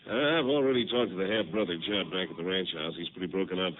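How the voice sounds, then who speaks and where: An actor playing a folksy country sheriff. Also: male voice, low voice, fast talker, natural voice. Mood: easygoing. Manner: easygoing, folksy drawl of words. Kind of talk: 1950s radio drama acting. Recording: tinny lo-fi old radio broadcast with faint hiss.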